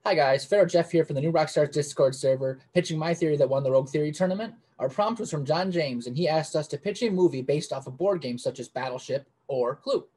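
Guy reading his contest pitch off a script, kinda husky, little high. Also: fast talker, few pauses, low-quality recording. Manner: steady, reads from a script. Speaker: contest winner. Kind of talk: read-out pitch.

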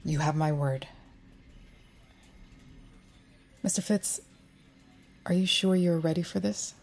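An actress in an audio drama playing a cool, controlled special agent who speaks in a measured, authoritative way. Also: female voice, lowish voice, soft background music. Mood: cool, businesslike.

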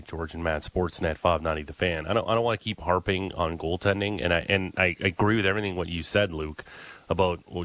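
A man's voice: deep voice, a bit bright, no echo. A man hosting a sports radio show, introducing the guest and asking direct questions.

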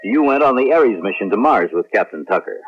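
A man's voice: lowish voice, lively intonation, brisk, husky, soft background music. An actor playing a deferential, naive young male clone trainee in a late-1970s radio drama. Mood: earnest, curious. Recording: muffled, lo-fi vintage radio recording.

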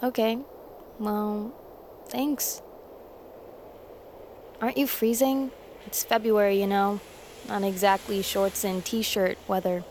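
A woman's voice: medium pitch, little pitch movement, takes it slowly, pauses often, darkish tone, slight background noise. An actress playing a wary, guarded teenage girl who is easily spooked, in a naturalistic audio drama.

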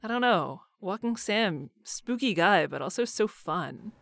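A woman's voice: medium pitch, animated, unhurried, vocal fry, no room sound. A woman voice actor chatting casually as an interview guest, with informal, loosely built phrasing. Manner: informal, hesitant phrasing full of "like". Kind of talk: casual cast interview chat.

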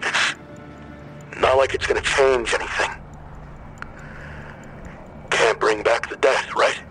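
An actor playing a despondent, broken man leaving a halting, unsure voicemail in an audio drama. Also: medium pitch, steady tone, sharp tone, soft background music, low-quality recording. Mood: despondent, hesitant.

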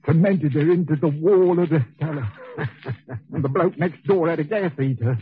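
An actor playing a coarse, sickly Cockney convict in a 1940s radio drama, husky and slangy, on an old muffled recording. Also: male voice, fairly high pitch, normal speed, close to an everyday voice. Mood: anguished confessing. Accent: Cockney English. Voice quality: husky, rough. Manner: slangy, chatty, needling. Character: coarse, hot-tempered, sickly working-class male convict.

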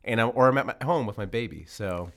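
A man's voice: on the low side, fast, fairly bright tone. A man co-hosting a comedy podcast, chatting casually and joking. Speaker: podcast co-host. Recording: music behind the voice.

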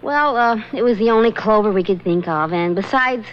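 An actress playing a nervous young secretary who hesitates and stammers in a radio drama. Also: female voice, medium pitch, moderate pace, clean tone, natural voice. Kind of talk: 1970s radio drama acting. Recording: dull lo-fi radio recording.

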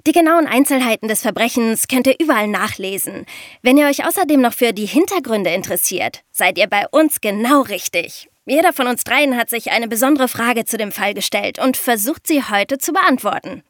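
A woman's voice: a little high, animated, moderate pace, fairly bright tone, no echo, studio quality. A young woman playing a sensible podcast co-host, explaining crime stories directly to young listeners in a scripted show. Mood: engaging, explanatory. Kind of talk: lively scripted true-crime podcast for children.

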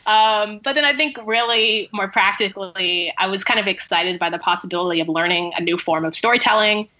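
A woman's voice: mid-range voice, fairly quick, bright, forceful tone, dull audio. A woman speaking as a writer guest in a casual podcast interview, conversational and peppered with "like" and "sort of". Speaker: guest novelist and screenwriter.

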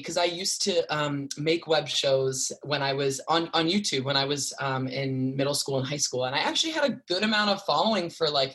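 A man's voice: a little high, fairly bright tone. A young man in his twenties, a stage performer talking casually as an interview guest, chatty with many fillers. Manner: chatty, lots of likes and fillers.

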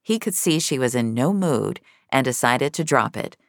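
A woman narrates scripted fiction in a very deep voice. There is a gravelly creak to it, and the sound is close and dry. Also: expressive, moderate pace, dry recording.

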